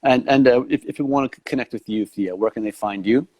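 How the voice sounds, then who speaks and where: A man hosting an online talk show, asking long, meandering, halting questions over a video call. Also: medium pitch, darkish tone, no room sound. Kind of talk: online interview chat.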